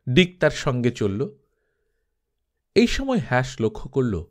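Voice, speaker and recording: A man reading a scripted story as an expressive narrator. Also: medium pitch.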